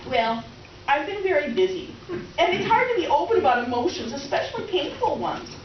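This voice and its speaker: Woman acting high-voiced, melodramatic old flame. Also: big pitch swings, brisk. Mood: confessional, flighty. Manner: gushing, theatrical, swinging from laughter to tears. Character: overwrought, clingy middle-aged old flame, melodramatic and unhappy. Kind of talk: comic stage-play reading. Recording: roomy, distant, muffled noisy live recording.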